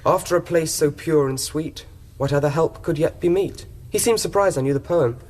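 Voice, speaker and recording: Man playing young English man, fairly high voice, polite, mild, trusting, innocent, radio drama. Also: normal speed, a little mellow, lightly acted. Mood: candid, reminiscing. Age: young adult. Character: trusting, innocent young male college gardener accused of blackmail. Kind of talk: British radio drama acting.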